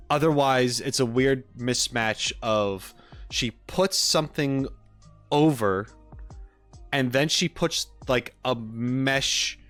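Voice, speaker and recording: A man's voice: medium pitch, fairly bright tone. A man chatting casually on a live stream, giving a rambling, informal critique as he reacts to what he watches. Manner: rambling, informal, frequent hedging and "like". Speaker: streamer reacting to game character trailers.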